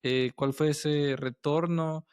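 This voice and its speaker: A man's voice: fairly high pitch, fairly even intonation, slow pace, darkish tone, clean tone, no room sound, studio quality. A man hosting a podcast, asking casual interview questions in a hesitant, meandering way. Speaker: podcast host.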